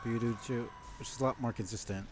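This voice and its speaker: A man's voice: mid-range voice, fairly even intonation, quick pace, background noise. A man giving casual live game commentary as a streamer, thinking aloud in a speculative, casually sweary way.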